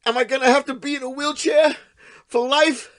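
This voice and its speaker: An actor playing a drunk, menacing, crude and clownish estranged husband in an audio play, with a taunting, theatrical delivery that swings into outbursts. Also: male voice, extremely high pitch, fairly even intonation, moderate pace, a bit bright. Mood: pained outrage.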